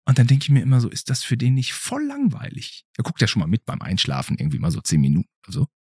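A man's voice: mid-range voice, huge pitch swings, fast talker, darkish tone, slightly rough, dry recording, studio quality. A middle-aged man chats casually as a podcast host, asking questions in a playful, inquisitive way. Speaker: podcast host interviewing a child. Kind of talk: casual interview chat.

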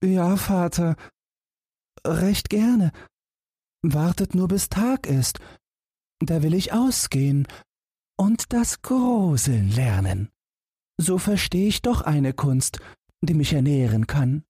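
Fairy-tale lad, played by a man: high, guileless, earnest, naive and carefree. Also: sing-song, takes it slowly, long pauses, dark tone, slightly rough, no room sound, clean studio sound, full character voice. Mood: willing, carefree. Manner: guileless, matter-of-fact, earnest. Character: naive, carefree boy who wants to learn to shudder.

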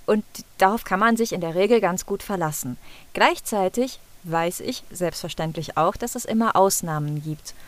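A woman from the Rhineland, a pronunciation content creator, telling stories in a lively, expressive way as a guest in a casual interview. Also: fairly low pitch, normal speed, dry recording. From Rhineland, Germany.

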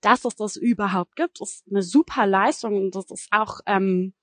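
A woman's voice: medium pitch, normal speed, no room sound. A woman from Germany, a journalist giving conversational, explanatory commentary in an interview.

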